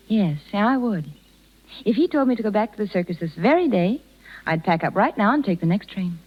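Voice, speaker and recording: A woman's voice: medium pitch, animated, a little fast, natural voice. An American actress playing an earnest, tender young woman circus rider in a 1930s radio drama. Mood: wistful. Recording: muffled 1930s radio broadcast recording.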